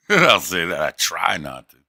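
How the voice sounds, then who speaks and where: A man's voice: low voice, wildly swooping pitch, slow pace, creaky, bright, forceful tone, slightly rough. A man hosting a livestream, teasing and profane in crude casual banter, with a drawl. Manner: brash, profane, teasing, laughs a lot. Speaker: livestream host.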